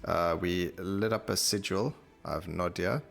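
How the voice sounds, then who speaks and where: A man with a very deep voice narrates his gameplay in a casual, conversational way. There is a gravelly fry to his speech as he thinks aloud and repeats himself. Game music plays behind him. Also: normal speed. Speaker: gaming video host.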